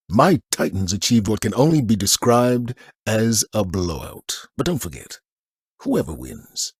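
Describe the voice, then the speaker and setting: An actor playing a stoic, noble military commander in a video game, speaking in solemn, measured, formal oratory. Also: male voice, medium pitch, breathy edge, dry recording, studio quality. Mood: measured, proud. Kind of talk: scripted game mission briefing.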